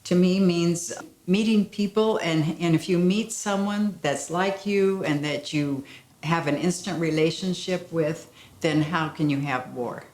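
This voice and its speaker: A woman's voice: fairly low pitch, a little slow, darkish tone. A woman earnestly reflecting on sister-city ties in a documentary interview. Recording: roomy echo.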